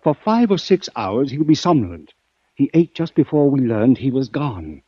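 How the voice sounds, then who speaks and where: An actor playing a desperate, earnest doctor and snake expert in an old-time radio drama, polite, halting and pleading. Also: male voice, mid-range voice, sing-song, warm tone, slightly rough, no echo. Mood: anxious, insistent. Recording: dull, dry vintage radio recording.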